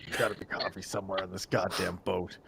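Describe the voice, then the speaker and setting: A man with a creaky voice, bantering and roleplaying casually as a player in a tabletop game. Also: sits low, flat delivery, fast talker, a crowd in the background.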